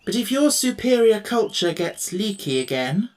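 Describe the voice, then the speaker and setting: A man's voice: sits high, very animated, moderate pace, voice pushed up. A man voicing a kind-hearted, courtly male tin man who speaks with polite firmness. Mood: courteous. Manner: courteous, gently firm.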